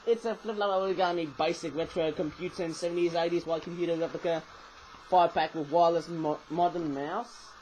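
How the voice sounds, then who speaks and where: A man with a slightly high, just-woken croak rambles through a casual toy review on a webcam. He loops back, restarts his sentences and throws in chatty asides. A faint hiss sits under his voice. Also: steady tone, fairly quick, a little mellow, rough recording.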